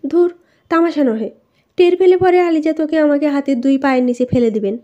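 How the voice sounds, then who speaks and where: A woman plays a young storybook noblewoman, imperious and secretive. She speaks in a high, soft-toned voice with short, insistent phrases, recorded dully. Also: brisk, lightly acted. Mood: curious, secretive. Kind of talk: audiobook character reading. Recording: dull, lo-fi voice recording.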